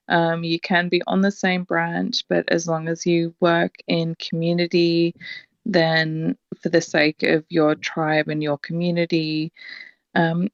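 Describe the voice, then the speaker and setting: A woman with an Australian accent, sharing reflective thoughts in a casual online discussion, with many ums and pauses. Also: deep voice, vocal fry, no room sound, highs cut off. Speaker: guest contributor.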